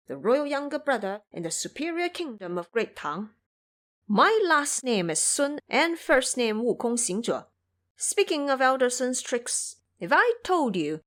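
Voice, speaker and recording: A woman voicing a boastful male monkey king warrior who taunts and threatens his foes loudly. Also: a little high. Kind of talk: audio drama voice acting.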